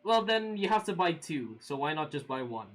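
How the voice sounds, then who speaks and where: A man's voice: fairly high pitch, moderate pace, soft background music. Chatty young man streaming. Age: 20s. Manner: relaxed, chatty, laughs often, many fillers. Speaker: livestream host. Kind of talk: casual chat reading viewer comments.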